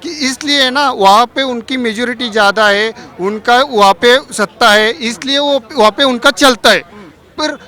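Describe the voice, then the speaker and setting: A young man from Maharashtra with a Marathi accent, answering in a casual street interview, halting as he searches for words. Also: very high-pitched, sharp tone. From Maharashtra, India. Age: young adult. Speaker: party supporter interviewed.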